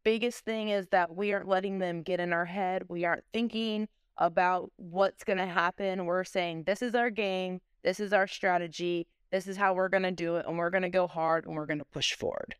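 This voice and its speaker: A woman's voice: on the low side, steady tone, moderate pace, hardly any pauses, clean tone, no room sound, clean studio sound. A woman speaking as a sports coach in an interview, with emphatic, repetitive, driven motivational phrasing.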